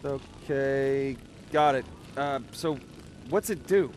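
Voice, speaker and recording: A man's voice: mid-range voice, takes it slowly, slight background noise, natural voice. A man playing a cocky, wisecracking young American with superpowers in a video game, casual and sarcastic. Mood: curious. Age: young adult.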